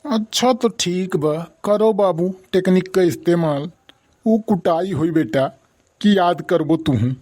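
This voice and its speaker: A woman's voice: lowish voice, unhurried, mellow. A woman with an Awadhi accent playing a mocking, admonishing older village uncle in a comic cartoon.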